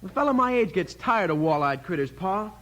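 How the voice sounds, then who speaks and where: An actor playing a restless, defiant teenage cowboy with folksy frontier talk in a 1950s radio western. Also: male voice, high voice, lively intonation, fairly quick, fairly bright tone. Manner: folksy drawl-speak, headstrong. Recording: noisy vintage radio recording.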